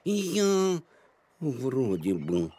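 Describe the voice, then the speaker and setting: A man voicing a big, hesitant, gently unsure cartoon elephant with drawn-out delivery. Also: mid-range voice, wildly swooping pitch, a crowd in the background, exaggerated voice. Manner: hesitant, drawn-out, trailing second thoughts. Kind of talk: children's cartoon acting. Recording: music behind the voice.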